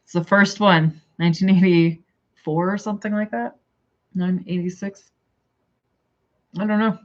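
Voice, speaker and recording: A woman's voice: fairly low pitch, fairly even intonation, unhurried, dull audio. A woman with a Canadian accent, chatting casually and rambling to her viewers on a livestream. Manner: rambling, chatty, thinks aloud and answers chat. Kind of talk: casual live chat.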